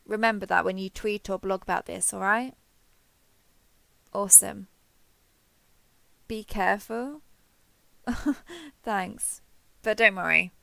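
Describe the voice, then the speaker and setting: A woman's voice: mid-range voice, gravelly, fairly bright tone, dry recording. A woman playing a friendly, chatty giant transforming robot soldier in an audio roleplay, joking and reassuring as she talks to the listener. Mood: warm, brave.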